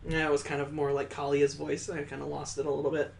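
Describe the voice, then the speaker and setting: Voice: medium pitch, monotone, fast talker, gravelly. A gaming host giving playful, incredulous commentary with some swearing while reading a visual novel aloud.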